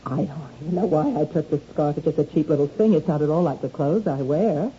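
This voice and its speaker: An actress playing an anxious, defensive young married woman in a flustered, halting, pleading way, in 1970s radio drama style. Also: low voice, warm tone, close to an everyday voice. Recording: dull lo-fi old radio recording, faint noise.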